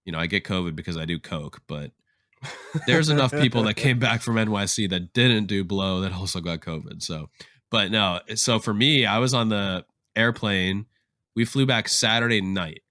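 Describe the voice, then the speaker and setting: A man's voice: fairly low pitch, moderate pace, pushed and bright, no room sound, studio quality. A young man co-hosting a podcast, telling stories in a loose, slangy, profane way while getting over covid. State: recovering from covid. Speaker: podcast co-host. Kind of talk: casual podcast chat.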